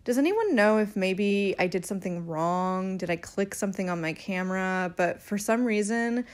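A woman's voice: medium pitch, normal speed. Young woman vlogger with a cold, rambling apologetically into an on-camera mic. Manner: apologetic and rambling, animated hand gestures. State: sick, a bit nasal. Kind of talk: casual vlog, talking to camera. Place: bedroom with couch, indoors. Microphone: camera mic at arm's length.

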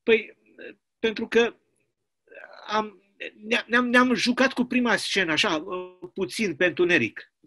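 Man reading as a hesitant, high-voiced prisoner poet. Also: long pauses, pushed and bright. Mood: sheepish. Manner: hesitant, stammering, self-justifying. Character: meek, evasive imprisoned poet, male, explaining himself haltingly. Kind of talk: dramatic play reading.

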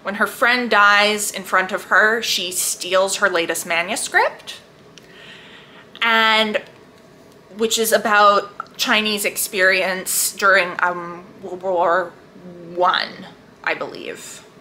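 Female book vlogger, bright voice, chatty and emphatic, gushing delivery, slight background noise. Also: mid-range voice, fairly even intonation, slow. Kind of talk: casual, chatty book review to viewers.

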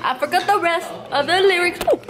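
A young woman hosting a casual vlog from a family party, excitable and joking, filmed on a handheld camera. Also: extremely high pitch, a little fast, background noise. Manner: excitable, joking, laughs a lot. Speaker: vlog host.

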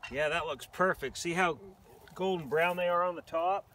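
A man's voice: on the high side, normal speed, background noise. Plainspoken man cooking outdoors, mic distant. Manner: relaxed, plainspoken, talks while working with his hands. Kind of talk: casual cooking demonstration. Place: kitchen indoors, then open grassy field outdoors. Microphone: camera mic at a distance.

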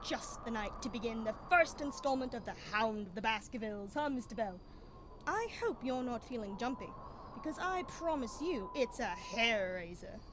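High-voiced genial Doctor Watson, played by a woman. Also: lively intonation, normal speed, noisy background, lightly acted. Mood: teasing, genial. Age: young adult. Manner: chatty, polite, storytelling. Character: Doctor Watson, genial male storyteller and companion. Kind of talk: 1930s-style radio drama acting.

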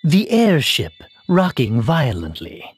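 A woman's voice: very deep voice, huge pitch swings, takes it slowly, husky, soft background music, full character voice. A woman playing a composed, level-headed young woman in a fantasy video game, with a dry, matter-of-fact manner. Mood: wry.

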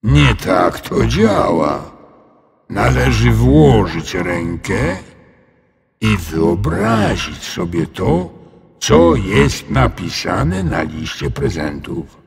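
A man voicing a calm, kindly, absent-minded dragon in a children's storybook, muttering and drawing out his words. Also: on the low side, gravelly, breathy edge, long reverb tail, full character voice. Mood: calm, explaining. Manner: unhurried, drawn-out, muttering to himself.

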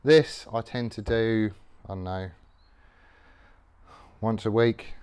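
A man's voice: lowish voice, takes it slowly, darkish tone, clean tone. A middle-aged man casually explaining his tool care while working in a garage workshop, rambling and matter-of-fact with many ums. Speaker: workshop vlogger.

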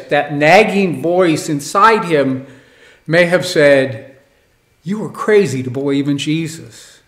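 A pastor preaching a sermon from the pulpit of a church, with slow, measured delivery and deliberate pauses. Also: male voice, on the high side. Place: church sanctuary, indoors. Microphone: stand mic on pulpit. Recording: echoey church.

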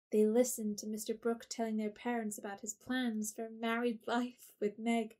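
A woman narrating a classic novel in an audio drama, gentle and measured. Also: on the high side. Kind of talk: scripted storybook narration.

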